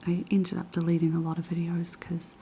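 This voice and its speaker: A young woman with a New Zealand accent whispering unhurried ASMR chat in a kitchen, her voice raspy and hoarse after being sick. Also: on the low side, monotone, a little hiss or hum. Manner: soft, unhurried whispering with many ums. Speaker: ASMR creator. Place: kitchen of a quiet flat.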